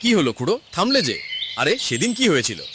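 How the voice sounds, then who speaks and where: Young cartoon hero, male actor: curious, impatient, higher-pitched, wildly lively delivery. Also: a little fast, noisy background. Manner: inquisitive, impatient. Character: curious, eager young male hero questioning his uncle. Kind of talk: cartoon dialogue.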